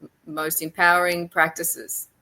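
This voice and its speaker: An Australian-accented woman talks as a guest on a podcast. Her voice is notably low for a woman. She speaks conversationally, feeling her way through thoughts in halting, reflective phrases. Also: little pitch movement, pushed and bright, low-quality recording. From rural Australia. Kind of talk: casual interview chat.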